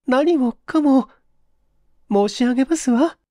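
A woman's voice: high-pitched, slow, warm tone, dry recording, clean studio sound. A woman playing a distressed, hesitant young office worker in an audio mystery drama, confessing in formal, deferential language. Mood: resolved, earnest.

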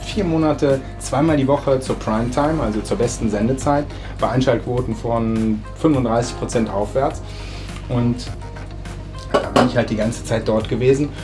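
German man, forties, home kitchen chat, relaxed, lots of ums, music underneath. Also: medium pitch, normal speed. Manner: relaxed, chatty, many filler hesitations. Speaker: interviewed chef. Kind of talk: casual cooking demo and interview. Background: background music.

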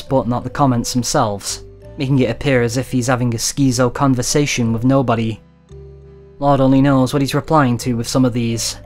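A man's voice: mid-range voice, darkish tone. Wry, conversational male narrator. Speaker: documentary narrator. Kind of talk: scripted video-essay narration.

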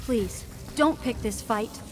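Anxious, high-voiced young woman. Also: slow pace, very noisy. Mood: anxious, pleading. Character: anxious young human woman, pleading and gentle. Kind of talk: video game voice acting. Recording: game music underneath.

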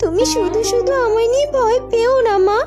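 A woman's voice: very high-pitched, brisk, music in the background. A woman voicing a brave, determined young daughter in a fairy-tale cartoon, speaking firmly and earnestly. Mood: reassuring, resolute. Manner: firm, earnest, commanding.

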